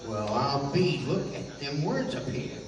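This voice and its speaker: Folksy rough-and-ready pulp sidekick acted by a man, lively intonation, 1930s radio style, distant echo-heavy recording. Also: medium pitch, unhurried, a crowd in the background. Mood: puzzled, folksy. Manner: colloquial, blustery. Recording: echoey hall from far off, faint noise.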